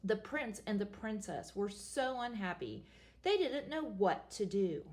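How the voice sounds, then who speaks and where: A woman reading a children's picture book aloud in a playful, expressive storytelling manner. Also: medium pitch, measured pace.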